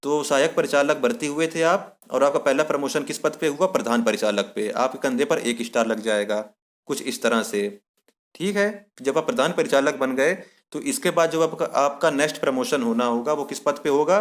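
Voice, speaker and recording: A man presenting an explanatory lesson to viewers, repeating key points and posing questions that he then answers himself. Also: mid-range voice. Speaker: presenter of an exam-guidance lesson. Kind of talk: explanatory tutorial addressed to viewers.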